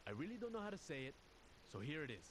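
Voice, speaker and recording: An earnest military officer records a game audio log in a fairly high voice with very lively intonation. He sounds troubled and confiding, speaking deliberately yet conversationally. There is clear noise behind the voice. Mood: grave, uneasy.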